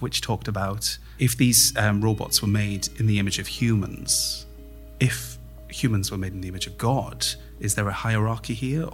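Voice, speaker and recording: A man speaking as an interviewed playwright, explaining his thinking in a reflective, conversational way. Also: on the low side.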